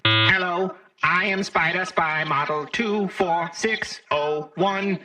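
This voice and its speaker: A man's voice: high voice, fairly even intonation, slow pace, bright, forceful tone, faint music behind, full character voice. A man voicing a small, prissy robot spider spy, scolding and indignant, in a comedy audio drama. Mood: formal.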